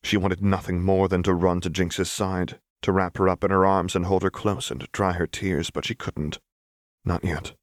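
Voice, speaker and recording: A man's voice: sits low, level tone, normal speed, dry recording, studio quality. A man reading scripted fiction as an audiobook narrator, in a dramatic storytelling style.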